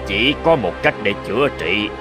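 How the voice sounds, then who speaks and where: A man's voice: lowish voice, lively intonation, moderate pace, music in the background, close to an everyday voice. A man voicing a grave, self-assured old physician in a fairy-tale cartoon, solemn and unyielding. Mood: grave, insistent. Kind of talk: cartoon dubbing.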